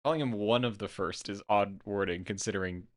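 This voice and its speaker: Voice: sits low, a little fast, dry recording, clean studio sound. A stream co-host in casual analytical chat, thinking aloud in a discursive way.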